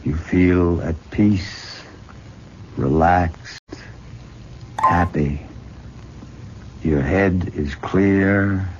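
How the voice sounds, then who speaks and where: An actor playing a possessive, condescending middle-aged professor and hypnotist in an old-time radio drama, coaxing deliberately on a muffled, noisy old recording. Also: male voice, low-pitched, fairly even intonation. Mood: hypnotic coaxing.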